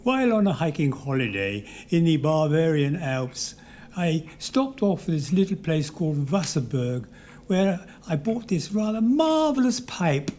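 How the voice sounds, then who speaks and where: Man acting a blustering, high-pitched-swooping English gentleman. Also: big pitch swings, measured pace, a little hiss or hum. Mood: reminiscing. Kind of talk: audio drama acting.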